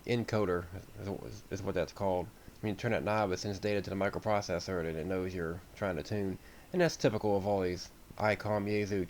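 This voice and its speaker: An American man with a fairly low voice talks through a hands-on repair in an unscripted, folksy way. He sounds like a hobbyist explaining things as he goes. A faint layer of noise sits behind his voice. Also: darkish tone. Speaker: radio hobbyist host. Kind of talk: informal repair walkthrough narration.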